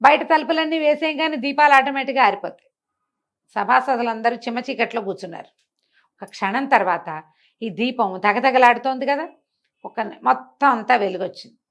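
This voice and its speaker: A woman with a fairly high voice tells a traditional moral story. She speaks conversationally, explaining as she goes and slipping into the characters' dialogue as an oral storyteller would. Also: moderate pace, a bit bright. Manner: conversational, explanatory, acts out dialogue, rhetorical questions. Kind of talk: animated oral storytelling of a moral folk tale.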